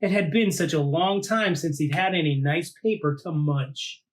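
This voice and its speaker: A man reading a children's picture book aloud like a teacher, with deliberate dramatic phrasing and drawn-out words. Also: a little high. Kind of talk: animated storybook read-aloud for children.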